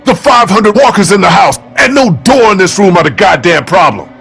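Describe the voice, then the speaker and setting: An actor playing a desperate, earnest, bitten survivor in a zombie video game, sounding strained. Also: male voice, high-pitched, lively intonation, fairly quick, gravelly, fairly bright tone, breathy edge, soft background music, full character voice. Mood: desperate, angry. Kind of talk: dramatic video game acting.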